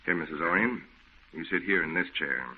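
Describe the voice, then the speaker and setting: A man's voice: low voice, fairly quick, husky. An actor playing a skeptical, blunt, folksy man in an old radio drama, sounding exasperated. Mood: firm, exasperated. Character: skeptical, exasperated, no-nonsense man debunking a ghost. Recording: tinny, phone-like old radio recording.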